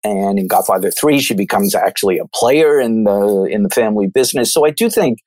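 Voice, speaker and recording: A man's voice: on the low side, darkish tone, no room sound. A man from New York with an American accent, talking through films in a discursive, thoughtful, conversational way. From New York, United States. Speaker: film commentator. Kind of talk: conversational film analysis.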